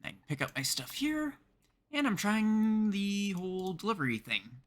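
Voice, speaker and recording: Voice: mid-range voice, very animated, normal speed. A streamer from Canada giving casual live video game commentary, chatting and thinking aloud in short remarks.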